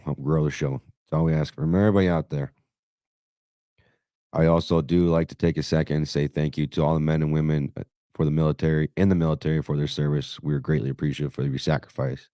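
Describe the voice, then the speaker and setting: A man hosting a podcast in brash, profane banter, his voice hoarse and raspy after being sick. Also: extremely low pitch, warm tone, dry recording, studio quality. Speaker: podcast host. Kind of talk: casual crude banter.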